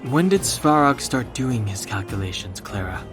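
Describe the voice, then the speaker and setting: An actor voicing a calm, helpful young man in a game, with an understated, matter-of-fact manner. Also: medium pitch, normal speed, natural voice. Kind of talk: scripted game voice acting. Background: game music.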